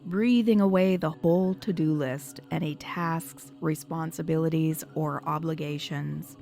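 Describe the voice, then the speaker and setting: A woman hypnotherapist leading a guided meditation in a soothing, measured, instructional manner. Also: low voice, steady tone, vocal fry, mellow. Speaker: hypnotherapist guiding a session. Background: background music.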